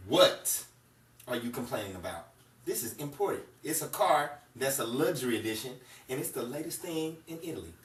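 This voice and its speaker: A man acting an excitable, wisecracking pal in a 1950s-style radio comedy, exclaiming in mock disbelief. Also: mid-range voice, normal speed, natural voice. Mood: defensive, excited. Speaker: actor and announcer in an old-time radio comedy. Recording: roomy distant mic, faint noise, audience.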